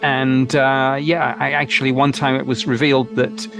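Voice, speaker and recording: A man interviewed for a documentary, speaking haltingly with fillers. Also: mid-range voice, level tone, creaky, over music.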